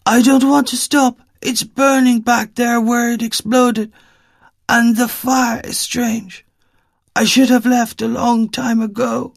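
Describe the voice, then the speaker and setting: Brief: cursing, frightened street woman, as a male narrator does her, shrill, dry close sound. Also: little pitch movement, slow pace, creaky, no echo, full character voice. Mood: exasperated. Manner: shrill and exasperated. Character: scared, hostile homeless woman, snapping and cursing.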